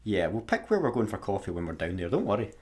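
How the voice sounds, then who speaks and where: A man as a sarcastic Scottish spaceman, husky voice. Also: lowish voice, very animated, fast, a little mellow. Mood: reassuring. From Scotland. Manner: sarcastic, grumbling. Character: sarcastic, scrappy male space satellite worker. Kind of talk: sci-fi comedy radio play acting.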